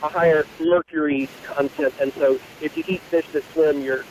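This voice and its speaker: A man's voice: a little high, fairly even intonation, normal speed, a little mellow, a little hiss or hum. A man on a casual phone call, telling his story in a dry, exasperated way. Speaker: phone-in guest. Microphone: telephone.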